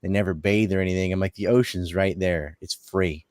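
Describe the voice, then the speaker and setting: A man with a low voice talks casually on a panel. His manner is offhand and wry, and the sound is dry, without room echo. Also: steady tone, fairly quick, a little mellow. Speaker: podcast panelist. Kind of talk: casual chat.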